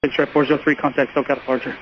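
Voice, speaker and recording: Man, tower controller, very fast clipped delivery, flat pitch, aviation radio. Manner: clipped, procedural phraseology. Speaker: air traffic tower controller. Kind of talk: radio air traffic control instructions. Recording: muffled, lo-fi radio with faint noise.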